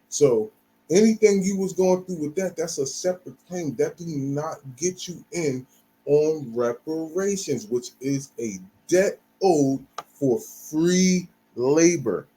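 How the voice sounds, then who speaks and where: A man's voice: mid-range voice, mellow, slight background noise, rough recording. A man from the United States giving blunt, slangy reaction commentary, profane and emphatic.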